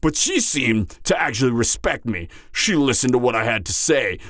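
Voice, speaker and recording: Man playing awkward tipsy part-giant mercenary, animated pitch. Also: moderate pace, no room sound, studio quality. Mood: troubled, conflicted. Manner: hesitant, stammering, trailing off, sighing. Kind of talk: intimate fantasy audio roleplay monologue.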